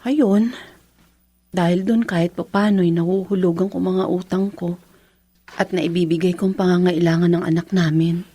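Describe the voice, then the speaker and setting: A woman's voice: lowish voice, fairly even intonation, normal speed, dark tone, husky. An actress playing a long-suffering young wife and mother in a Filipino radio drama, emotional and plaintive, with a Filipino accent. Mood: friendly, subdued.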